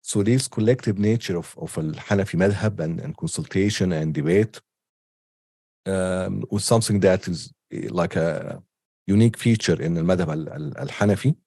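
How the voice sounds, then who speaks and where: A man giving an unscripted online lecture with a cold, halting often with ums and restarts. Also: low voice, dark tone, husky, dry recording, clean studio sound. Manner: hesitant, many ums and restarts. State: has a cold. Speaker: teacher.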